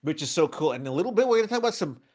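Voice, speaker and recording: A man hosting a community radio and podcast show, introducing guests with energetic, emphatic delivery. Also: on the high side, very fast.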